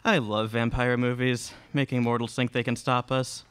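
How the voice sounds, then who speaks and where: A man's voice: mid-range voice, level tone, moderate pace, a bit bright, clear, resonant tone, lightly acted. A young man playing an arrogant, taunting vampire villain in a live radio play. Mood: gloating. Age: young adult. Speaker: student radio play performer. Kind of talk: live radio theatre acting. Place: small classroom studio. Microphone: stand microphone, close.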